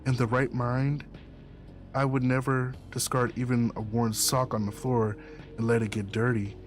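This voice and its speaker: A man reading a visual novel aloud as first-person narration, in an earnest, measured, dramatic way. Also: medium pitch, little pitch movement. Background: game music under the voice.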